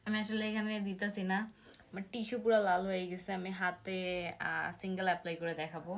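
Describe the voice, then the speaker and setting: A young woman with a Bangladeshi accent talks in a casual, excited, chatty vlog style, mixing in English. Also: mid-range voice, fast talker. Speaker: vlogger. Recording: noticeable background noise, clicks.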